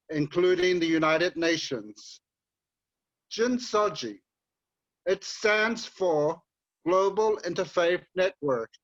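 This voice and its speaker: High-voiced man giving a formal welcome. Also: fairly bright tone, lo-fi sound. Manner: formal, measured greetings. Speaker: board member opening the seminar.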